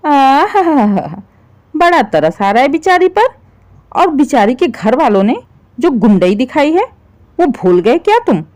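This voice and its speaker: A woman's voice: sits high, very animated, a little slow, a little mellow, pitched above the performer's own voice. A woman voicing a bitter, middle-aged mother-in-law who scolds with sarcasm and contempt in a story. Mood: sarcastic, bitter.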